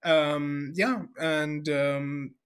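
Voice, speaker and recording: A man from Syria, a guest expert in a video interview, talking in a halting way with many fillers and repeated words. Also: a little high, steady tone, fairly bright tone, smooth.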